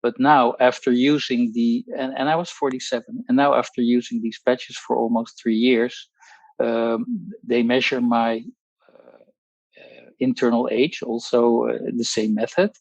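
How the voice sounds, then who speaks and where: A Dutch man in his sixties, speaking English as a second language, tells his own story as a guest on a video call. He talks with frequent pauses and restarts and uses technical wording. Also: medium pitch, flat delivery, creaky, a little mellow, no room sound, muffled recording. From Netherlands. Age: 60s. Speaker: guest, pharmacologist. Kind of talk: personal testimony on a group call.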